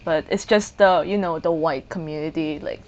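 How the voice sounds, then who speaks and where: A young adult woman asking questions in a casual, animated chat, laughing often. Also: low voice, normal speed, dull audio. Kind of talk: casual conversation.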